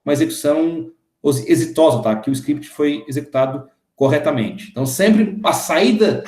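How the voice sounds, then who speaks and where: Man in his thirties, a programming teacher with a slightly high voice, explains step by step, echoey room sound. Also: animated, normal speed, darkish tone. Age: 30s. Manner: explains step by step, often checking in with the class. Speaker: programming instructor. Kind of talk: screen-recorded coding lesson.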